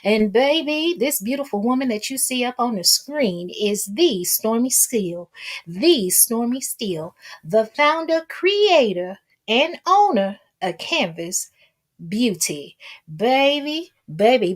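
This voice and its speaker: A woman giving casual gossip commentary as an online host, sassy and folksy, often repeating phrases for emphasis. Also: fairly high pitch. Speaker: YouTube commentator and channel host.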